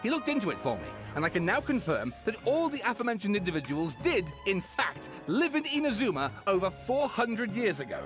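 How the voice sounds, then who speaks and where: A man's voice: sits high, very animated, brisk, hardly any pauses, music in the background. An actor voicing an eager, theatrical male writer in a video game, dramatic and showy in delivery. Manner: dramatic, showmanlike. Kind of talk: game voice acting.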